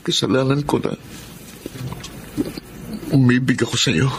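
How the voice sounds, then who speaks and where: A Filipino man playing an anguished married man confessing forbidden love in a melodramatic radio drama, speaking in a halting, emotional way. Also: medium pitch, gravelly, background noise. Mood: remorseful. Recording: music bed under the voice.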